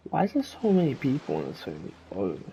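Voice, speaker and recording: A man's voice: mid-range voice, wildly swooping pitch, brisk, dark tone, highs cut off, a little hiss or hum. A man giving casual, opinionated gameplay commentary as a game streamer. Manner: informal, opinionated. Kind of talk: casual gameplay commentary, reading some dialogue aloud.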